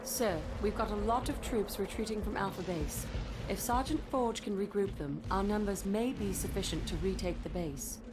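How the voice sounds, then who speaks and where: A woman voicing a composed, dryly witty ship's artificial intelligence in a sci-fi game, with crisp delivery. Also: mid-range voice, normal speed, noisy background, natural voice. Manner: crisp, composed, dry wit. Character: cool, wry female shipboard artificial intelligence. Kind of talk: military sci-fi game dialogue.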